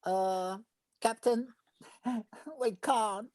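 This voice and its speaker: A woman voicing an eager, excitable cartoon beetle, theatrical and keen to please. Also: a little high, extremely slow. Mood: nervous. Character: small, eager, excitable husky beetle, would-be showman. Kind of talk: animation table read.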